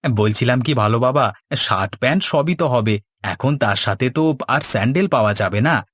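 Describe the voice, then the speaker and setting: A man's voice: medium pitch, lively intonation, normal speed, a bit bright, breathy edge, dry recording. A man voicing a shameless, greedy young son-in-law in a cartoon, with a whiny, fawning manner. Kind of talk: Bengali cartoon dialogue. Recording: music under the voice. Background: music.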